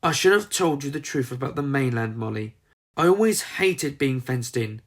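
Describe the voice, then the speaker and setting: Narrator plays storybook mother hen: determined leader, protective, earnest, lively pitch. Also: normal speed, lightly acted. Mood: remorseful.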